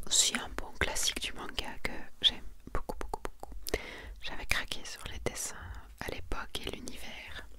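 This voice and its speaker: A woman hosting an ASMR video, speaking softly in a hushed whisper as she presents and comments. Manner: soft, hushed, unhurried delivery. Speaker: ASMR video host presenting a manga collection. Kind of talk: whispered ASMR, reading summaries and casual comments.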